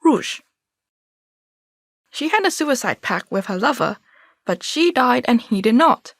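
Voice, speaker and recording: Lively, wry young woman, acted. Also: a little high, unhurried, creaky, no echo, heavily acted. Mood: storytelling. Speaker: actor in a student play. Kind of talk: conversational stage dialogue.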